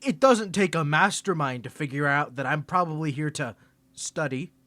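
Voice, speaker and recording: A smug, teasing college rival, played by a young man in an ASMR roleplay. His voice is bright and lively, his delivery slow and sarcastic. The sound is dry and close to the mic. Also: on the high side, dry recording.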